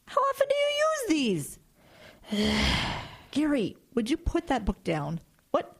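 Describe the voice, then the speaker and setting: A woman's voice: high-pitched, wildly swooping pitch, takes it slowly, full character voice. A woman playing a strict, worried mother who scolds her son. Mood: scolding. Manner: scolding, exasperated. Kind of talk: horror audio drama.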